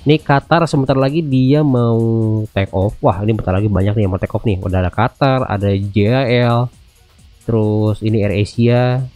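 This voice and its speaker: An Indonesian man in his thirties talks through a game in a soft, mellow voice. His delivery is casual and chatty, slipping into English radio-style phrases, with faint music behind him. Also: mid-range voice. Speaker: gaming video host. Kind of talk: casual gameplay commentary. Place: indoors at a computer, music behind.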